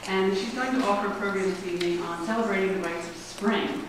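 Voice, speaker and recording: A woman's voice: medium pitch, quick pace. A woman directing a society, welcoming an audience and introducing the evening's speaker, with frequent hesitations and fillers. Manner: reads a biography with hesitations and fillers. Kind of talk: formal introduction. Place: meeting room before an audience. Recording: echoey room, mic far off, background hiss.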